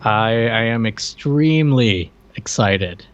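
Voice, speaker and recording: A man's voice: lowish voice, expressive, slow pace, a little hiss or hum. Hesitant man on dull lo-fi audio. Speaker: podcast co-host. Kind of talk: casual chat.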